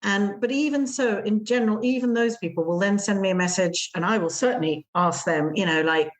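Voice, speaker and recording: A woman's voice: mid-range voice, fairly quick. A middle-aged woman with an English accent, chatting casually as a podcast guest about hosting travellers. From England. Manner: chatty, acts out guests' voices in quotes. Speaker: gîte owner, guest.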